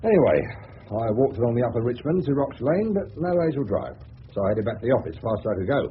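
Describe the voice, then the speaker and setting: An actor playing a brash, sarcastic, slangy English suburban private detective in a 1970s radio drama. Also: male voice, mid-range voice, big pitch swings, fast, close to an everyday voice. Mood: defensive. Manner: slangy, cocky, sardonic. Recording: tinny, hissy old radio broadcast.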